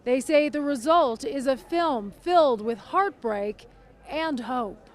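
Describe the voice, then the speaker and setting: A woman TV news reporter giving scripted news narration with a polished, measured delivery. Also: high-pitched, lively intonation.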